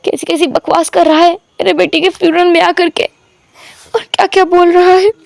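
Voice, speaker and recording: A woman's voice: extremely high pitch, level tone, normal speed, vocal fry, dry recording, voice pushed up. Woman doing a stern grieving father, angry, commanding.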